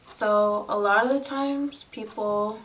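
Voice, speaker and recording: A soft-spoken young woman with a girlish voice, chatting casually and candidly to camera about personal things. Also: mid-range voice, steady tone, slow. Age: young adult. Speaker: lifestyle vlogger. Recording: distant mic, faint room noise.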